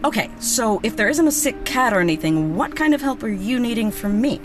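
Bubbly, animated young woman vet, played by an actress. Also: fairly high pitch, brisk, over music, lightly acted. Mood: concerned, friendly. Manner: chatty, excitable, casual swearing. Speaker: veterinarian. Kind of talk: naturalistic audio drama acting.

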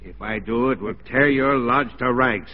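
A man's voice: mid-range voice, moderate pace, natural voice. An actor playing a menacing, boastful outlaw gunman with theatrical threats in a 1940s radio western. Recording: muffled, reverberant 1940s radio recording.

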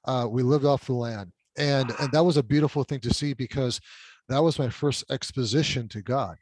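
A man's voice: mid-range voice, normal speed, a little mellow, no room sound. A middle-aged man whose first language is Spanish, telling his life story as a podcast guest in a reflective, self-deprecating way. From California border town, Mexican side. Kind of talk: conversational storytelling interview.

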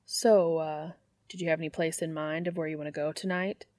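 A woman's voice: sits low, fairly even intonation, brisk, a little mellow, dry recording, natural voice. Woman voicing a wisecracking, flustered young man. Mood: awkward. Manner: blurting, teasing, sarcastic. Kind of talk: audiobook character voice.

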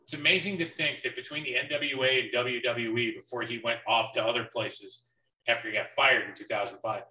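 A man's voice: mid-range voice, steady tone, brisk, pushed and bright, a little hiss or hum. A man in his early thirties chatting casually as a podcast co-host during a wrestling watch-along. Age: early 30s. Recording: echoey room, distant from mic.

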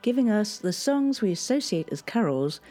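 A woman hosting a history podcast, telling past events in a measured, scripted storytelling delivery. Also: medium pitch, very animated, mellow, faint music behind.

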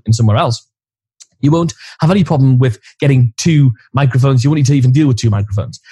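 A man co-hosting a podcast with a loud voice, chatting casually and explaining gear step by step, recorded on a studio mic in an office corner. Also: mid-range voice, slightly rough, no room sound. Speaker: podcast co-host. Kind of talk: casual chat. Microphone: studio condenser mic on boom arm.

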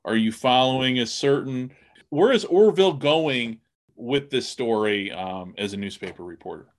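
A man's voice: mid-range voice, lively intonation, moderate pace. A man hosting an interview, asking casual questions and rephrasing them tentatively as he goes. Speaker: podcast host, interviewer.

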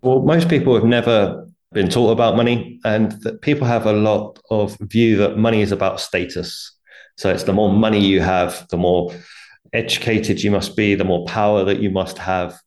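Deep-voiced man explaining earnestly. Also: steady tone, normal speed, a little mellow. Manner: earnest, explanatory, with light laughs. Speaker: author and guest. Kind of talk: conversational interview.

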